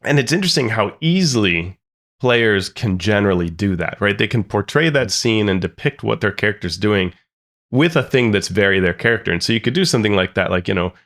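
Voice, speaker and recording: Deep, gravelly-voiced male podcast co-host who talks in a chatty way full of asides. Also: animated, a little fast, a bit bright. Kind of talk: casual podcast discussion.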